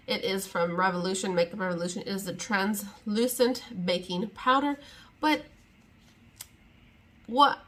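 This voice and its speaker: A young woman talks straight to the camera in a loose, rambling way, often trailing off and restarting. She sounds chatty and easily exasperated, with a mid-range voice of ordinary pitch. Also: fairly bright tone. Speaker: beauty vlogger. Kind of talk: casual sit-down chat to camera.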